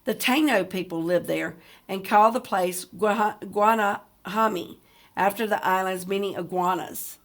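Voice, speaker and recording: A woman's voice: mid-range voice, unhurried. A woman with a mid-range voice speaks as a teacher in a read-aloud lesson. She addresses her listeners directly, in the way of someone guiding students through a book and its discussion.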